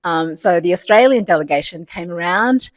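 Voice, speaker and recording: A woman's voice: fairly low pitch, lively intonation, normal speed, dry recording. A woman in her forties, born in Vietnam, telling her personal story at a panel, laughing often and using many fillers. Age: 40s. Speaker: CEO of a community organisation.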